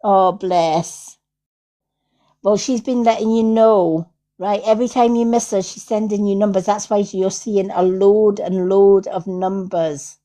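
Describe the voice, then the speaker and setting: A woman's voice: medium pitch, fairly even intonation, unhurried, mellow. A Scottish woman giving casual spiritual readings on a livestream as its host, in an affirming way, often checking in with "right?". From Scotland. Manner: affirming, repeats herself, tags with "right?". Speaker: psychic medium hosting a livestream.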